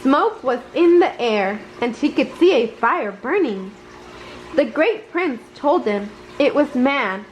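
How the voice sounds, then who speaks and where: A woman reading a children's storybook aloud in an expressive storytelling manner. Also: high voice, slow pace, slight background noise, low-quality recording. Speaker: story reader. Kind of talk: bedtime storybook read-aloud.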